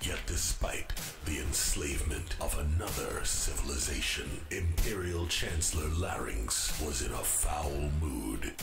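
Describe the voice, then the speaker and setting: A man performs a grand, ominous storyteller of a space saga. His voice is rock-bottom low and creaky, delivered slowly and portentously. It echoes over a music bed. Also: exaggerated voice. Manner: portentous, deliberate. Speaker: narrator. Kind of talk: dramatic scripted narration for sci-fi audio comedy.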